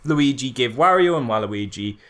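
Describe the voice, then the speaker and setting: A man co-hosting a podcast, chatting casually and comically about films in an animated, mock-serious way with rhetorical questions. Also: medium pitch, normal speed. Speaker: podcast co-host. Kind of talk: casual comedic film critique chat.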